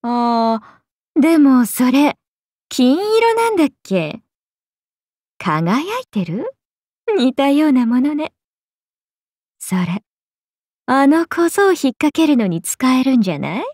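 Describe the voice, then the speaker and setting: A woman's voice: sing-song, slow pace, pauses often, darkish tone, no echo, clean studio sound, full character voice. A woman voicing a playful, teasing serpent-oni goddess for a mobile game, with breezy big-sister airs and a drawling, sake-loving manner. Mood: relaxed, musing. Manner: breezy, drawling, teasing, slipping into low murmurs. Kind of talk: Japanese mobile game character voice lines.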